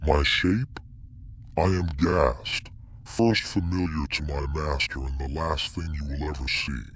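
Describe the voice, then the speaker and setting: A man voicing a cruel, gloating monster in a motion comic, with a husky rasp and slow, theatrical threats. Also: extremely low pitch, a bit bright, full character voice. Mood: gloating menace. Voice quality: husky, rasping. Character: menacing male monster familiar, cruel and gloating. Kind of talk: motion comic villain acting.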